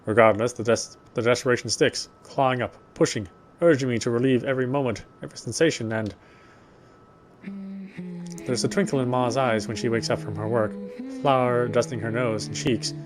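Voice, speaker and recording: A man reading a horror game story aloud, with expressive, lingering narration. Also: mid-range voice, moderate pace, soft background music, poor audio. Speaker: let's player reading a visual novel aloud.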